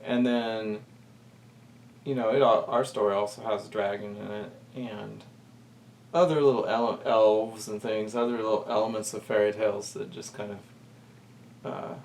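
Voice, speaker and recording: A man's voice: fairly low pitch, steady tone, moderate pace, darkish tone. A man talking casually as a co-host, feeling out his words and correcting himself as he goes. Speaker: podcast co-host. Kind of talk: casual conversation. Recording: distant mic, faint background noise.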